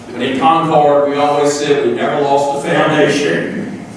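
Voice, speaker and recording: A man in his sixties delivers a sermon, telling stories in a folksy way with flashes of dry humor. His voice rings through a big, echoey sanctuary and comes from some distance from the mic. A steady room hiss sits underneath. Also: mid-range voice. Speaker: pastor. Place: large church sanctuary. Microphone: far camera, room pickup.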